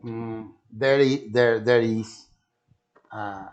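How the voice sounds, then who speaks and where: Slow, halting Brazilian man on lo-fi audio. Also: medium pitch, fairly even intonation, darkish tone. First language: Portuguese. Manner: halting, searching for words, repeating phrases. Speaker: English teacher practising conversation. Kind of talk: casual cross-cultural conversation. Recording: dull lo-fi online call audio.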